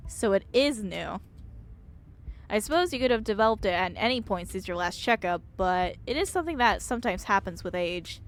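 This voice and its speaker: A woman's voice: mid-range voice, animated, moderate pace, soft background music, lightly acted. A woman voicing a stoic older female doctor from a visual novel, skeptical, dry and matter-of-fact.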